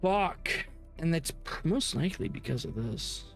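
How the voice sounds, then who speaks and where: Animated higher-voiced man, gaming stream. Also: unhurried, gravelly, faint music behind. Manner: sweary, slangy. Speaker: game streamer. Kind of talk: casual livestream chat while gaming.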